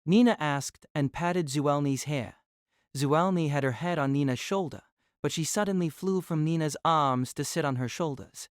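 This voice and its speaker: A woman's voice: deep voice, normal speed, no echo, clean studio sound. A woman narrating a scripted novel as a dramatic storyteller.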